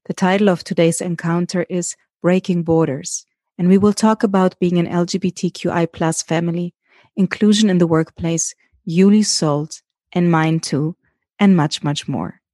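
A woman hosting a podcast, introducing her guest and asking questions in a measured way. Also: fairly low pitch, fairly even intonation, mellow, dry recording, clean studio sound. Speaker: podcast host. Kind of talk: interview hosting.

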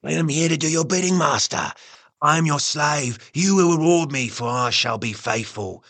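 An actor playing a fanatically devoted asylum madman in a gothic audio drama, fervent and servile with a raspy, gravelly voice. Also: a little high, normal speed, fairly bright tone. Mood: fervent worship.

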